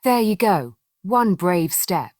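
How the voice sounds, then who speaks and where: A woman voicing a big, wise male storybook bear in a gentle, patient, reassuring manner. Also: lowish voice, sing-song, takes it slowly, no room sound, studio quality, voice pushed up. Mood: proud, kindly.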